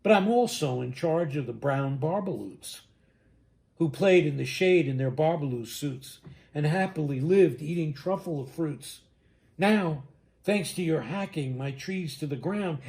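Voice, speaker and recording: A man voicing a small, old, mossy forest creature, bossy, sharp and indignant, in a storybook reading. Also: on the high side, animated, measured pace, gravelly. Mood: angry, sorrowful. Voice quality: sharp-edged. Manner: bossy, emphatic, protesting.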